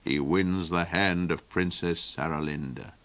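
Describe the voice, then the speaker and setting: A man voicing a duke's sly agent, measured, dry and unruffled. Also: low voice, steady tone, a bit bright, pitched below the performer's own voice. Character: sly, calm male agent to a duke.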